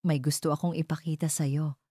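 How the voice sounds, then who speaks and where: A woman voicing a gentle, earnest man in love, a devoted young father in a narrated romance drama. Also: low voice, little pitch movement, a little fast, breathy edge, no echo, studio quality. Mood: tender.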